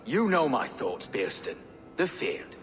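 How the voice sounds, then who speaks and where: An actor playing an aggrieved Saxon landholder in a video game, plaintive and indignant. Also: male voice, mid-range voice, big pitch swings, slow, fairly bright tone. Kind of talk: dramatic video game dialogue. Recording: echoey reverb with faint music.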